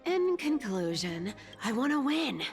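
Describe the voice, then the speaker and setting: A woman's voice: high-pitched, sing-song, unhurried, faint music behind, full character voice. An actress voicing a sly, greedy female thief demigod in a video game, teasing and theatrical. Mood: cocky, bargaining. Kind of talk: video game character acting.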